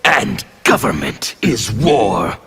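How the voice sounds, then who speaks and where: A man playing a menacing, fanatical anarchist revolutionary in a fighting game, with a gravelly, forceful and defiant delivery. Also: on the low side, sing-song, takes it slowly, heavy background noise, heavily acted. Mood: grim conviction. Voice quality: gravelly, intimidating. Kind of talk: video game character dialogue.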